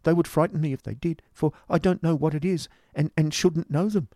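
A timid, broken-spirited storybook youth, speaks in a high, strained voice. He stammers and pleads in anguish, sounding like a frightened drudge. The sound is close and dry. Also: fairly even intonation, slow pace, warm tone, no echo. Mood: terrified, hopeless.

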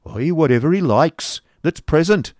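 A coarse, hectoring schoolmaster barks in a raised, strained register, as a male reader puts him on. He is testy and bullying, his pitch swinging about. The sound is dry and close. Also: expressive, normal speed, darkish tone, dry recording, natural voice. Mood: gruff.

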